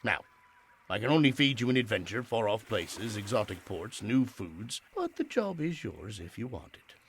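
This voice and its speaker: A man playing a theatrical, lively fatherly sea captain. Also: fairly low pitch, moderate pace, a little hiss or hum. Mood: playful, encouraging. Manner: expressive, grand, old-fashioned phrasing. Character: fatherly, theatrical male ship captain, warm and playful. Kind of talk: Regency-era audio drama acting.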